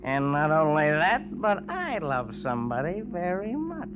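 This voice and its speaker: Boozy, wildly swooping young rich wastrel. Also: male voice, fairly high pitch, huge pitch swings, unhurried. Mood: maudlin, boozy. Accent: American. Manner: boozy, rambling, repetitive. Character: drunken rich young wastrel, maudlin and childish. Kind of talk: 1940s-50s radio drama acting. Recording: muffled, noisy vintage radio recording.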